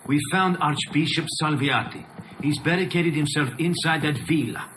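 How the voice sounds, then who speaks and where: An actor playing an urgent, businesslike mercenary soldier in a video game. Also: male voice, fairly high pitch, moderate pace, noisy background, natural voice.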